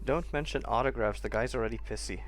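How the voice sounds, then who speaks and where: A man's voice: medium pitch, quick pace, a little hiss or hum, low-quality recording. A man streaming a game with casual commentary, rambling and thinking aloud as he plays.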